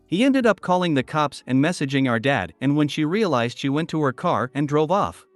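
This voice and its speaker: A man with a slightly high voice reads online stories aloud in an even, steady way. The sound is dry and close, with faint music playing underneath. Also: normal speed, no room sound. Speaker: narrator. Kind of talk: reading online posts aloud.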